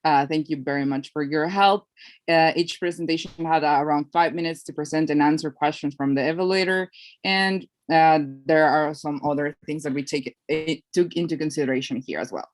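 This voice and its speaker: A woman's voice: low voice, steady tone, fairly quick, no room sound. A woman announcing research award winners on a video call, playful and laughing between lines.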